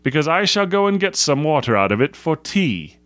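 A man's voice: a little high, very animated, fairly quick, fairly bright tone, no echo, pitched above the performer's own voice. A man voicing a rude, headstrong British schoolboy Scout in a storybook, brusque and dismissive. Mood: defiant. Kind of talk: storybook character voice.

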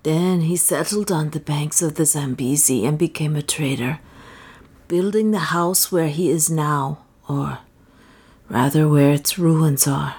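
A woman performs an aged, starving wanderer in an audiobook. Her voice is low, gravelly and husky, sinking into whispers as she pleads in old-fashioned speech. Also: measured pace, a little mellow, heavily acted. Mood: earnest. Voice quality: creaky, breathy whispering. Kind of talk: audiobook character reading.

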